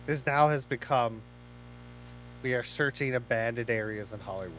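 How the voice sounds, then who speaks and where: A man's voice: mid-range voice, moderate pace, fairly bright tone, a little hiss or hum, rough recording. A man hosting a horror watch-along, giving casual reaction commentary with playful, rambling asides.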